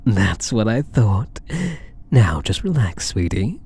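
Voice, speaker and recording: A man's voice: lowish voice, big pitch swings, a little mellow, husky, full character voice. A British man playing a possessive, flirtatious alien catboy thief in an intimate ASMR roleplay, teasing and doting. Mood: seductive, tender. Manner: teasing, doting, purring endearments, unhurried.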